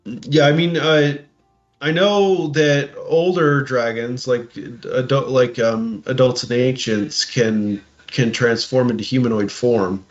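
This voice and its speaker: A man chatting casually as a podcast co-host, halting and tentative, on a lo-fi microphone. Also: mid-range voice, fairly even intonation, faint music behind. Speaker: podcast co-host reacting to a video. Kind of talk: casual chat. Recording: dull, lo-fi microphone sound.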